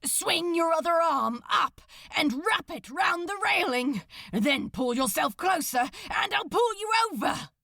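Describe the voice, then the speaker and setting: A woman as a desperate shouting male rescuer. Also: very high-pitched, expressive, a little slow, gravelly, dry recording, clean studio sound, pitched above the performer's own voice, heavily acted. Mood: urgent, commanding. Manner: urgent, strained, commanding. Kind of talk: dramatic audiobook character acting.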